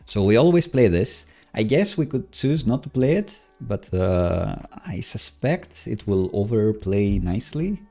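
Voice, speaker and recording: A man live-coding on a stream, casually thinking aloud in a hesitant, halting, self-musing way. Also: on the low side, very animated. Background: soft background music, computer keyboard typing.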